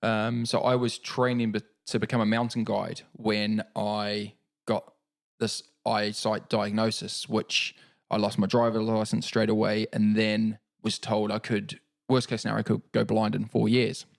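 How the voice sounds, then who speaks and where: A man in his thirties with a New Zealand accent, talking in a relaxed, reflective way as an interview guest, with many fillers and restarts. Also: lowish voice, a little slow, gravelly. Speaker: guest, paralympic cyclist.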